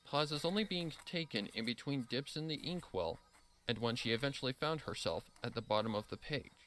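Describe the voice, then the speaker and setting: A man's voice: mid-range voice, expressive, fairly quick, fairly bright tone. A man narrating a scripted story, reading expressively and dramatically.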